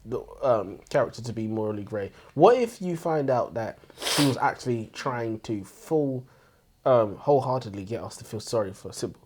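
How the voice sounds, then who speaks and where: A man in a relaxed book discussion, with a very varied, animated pitch. He plays devil's advocate as co-host, putting probing questions and angles in casual, conversational speech. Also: moderate pace, background noise. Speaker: book review podcast co-host.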